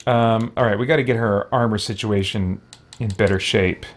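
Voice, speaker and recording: A man's voice: fairly low pitch, steady tone, normal speed. A man giving casual gameplay commentary as a let's play host, thinking aloud and muttering to himself as he goes.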